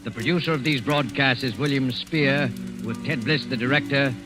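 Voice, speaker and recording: An actor playing a sinister, suave host who narrates a 1940s radio mystery show in a measured, theatrical manner. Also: male voice, mid-range voice, over music, natural voice. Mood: suavely ominous.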